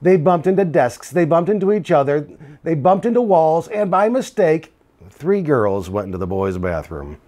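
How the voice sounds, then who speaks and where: A middle-aged man reading a children's storybook aloud in an expressive, deliberate way with dramatic pauses. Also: a little high. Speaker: school administrator reading aloud. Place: small indoor office. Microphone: lapel mic.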